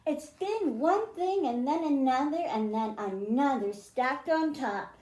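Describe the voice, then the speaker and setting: A man's voice: very high voice, expressive, measured pace, darkish tone, echoey room. Woman voicing a grumpy, exasperated storybook fish. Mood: mounting anger. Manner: exasperated, complaining. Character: grumpy male fish overwhelmed by mounting anger.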